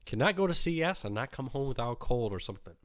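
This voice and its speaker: A man hosting a casual live question-and-answer stream, talking in a relaxed, chatty way with lots of ums, his voice weak from a cold. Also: mid-range voice, very fast. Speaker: host answering viewer questions.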